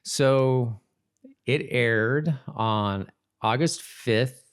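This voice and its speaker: A man's voice: mid-range voice, extremely slow, smooth. A man hosting a podcast, chatting casually and giving commentary on an episode. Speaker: podcast host.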